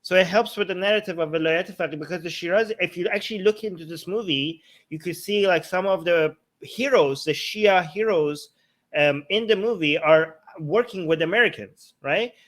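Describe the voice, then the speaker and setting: A man's voice: fairly high pitch, a bit bright. A man in a casual explanatory talk, speaking informally and often checking in with "okay" or "right". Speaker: talk show host.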